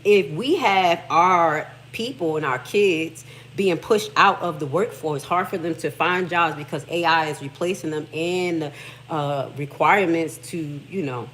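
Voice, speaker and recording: A woman's voice: on the low side, slight background noise, low-quality recording. A middle-aged Haitian American woman hosting a livestream, talking casually and emphatically. She reads text aloud, comments on it, and swears freely. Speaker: livestream host.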